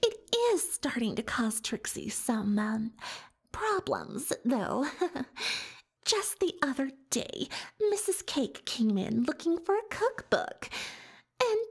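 A woman's voice: high-pitched, very animated, slow pace, gravelly, exaggerated voice. A woman playing a pompous, defensive unicorn pony magician turned librarian in an ASMR roleplay, hesitant when cornered. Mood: sheepish.

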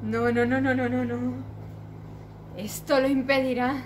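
A woman's voice: high-pitched, flat delivery, a little slow, a little hiss or hum. A woman playing a frightened young noblewoman in a declamatory classical stage monologue, full of dramatic questioning. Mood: anxious, doubting. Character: frightened young noblewoman agonizing over dark fears. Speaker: amateur stage actress.

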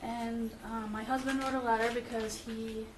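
A middle-aged woman reading a letter aloud at a school meeting, steady and careful, with occasional fillers. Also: on the high side, moderate pace. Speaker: parent speaking at public comment. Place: meeting room with audience. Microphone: far room camera. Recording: echoey room, distant camera, background noise.

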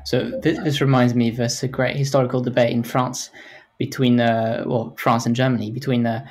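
A man moderates a panel podcast in a mid-pitched voice. He lays out long, measured questions before handing over to a guest. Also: flat delivery, darkish tone. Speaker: podcast host.

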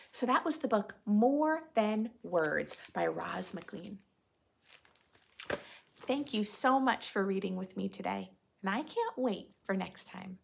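A woman in her thirties reading a picture book aloud to preschoolers, expressively, in simple phrases with drawn-out key words. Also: medium pitch, creaky. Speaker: host of a children's read-aloud show. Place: indoor room with bookshelf and armchair.

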